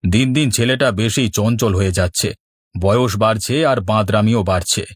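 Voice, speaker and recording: Low-voiced, earnest village father played by a man. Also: moderate pace, no echo, lightly acted. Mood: concerned. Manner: earnest, reassuring. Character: kindly, sensible middle-aged village father and neighbour. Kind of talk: children's cartoon dialogue.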